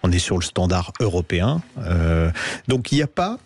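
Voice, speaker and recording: A man's voice: sits low, sing-song, normal speed, a little mellow, breathy edge, dry recording. A man hosting a radio talk show about cars, explaining things at length in a chatty way with many fillers. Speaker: radio host, car specialist. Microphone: studio microphone.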